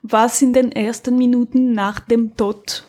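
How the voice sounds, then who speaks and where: A woman with a fairly high voice chats casually as a podcast co-host. She explains things in a halting way, pausing to find the next word. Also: level tone, mellow. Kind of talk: casual chat, recommending an audio drama.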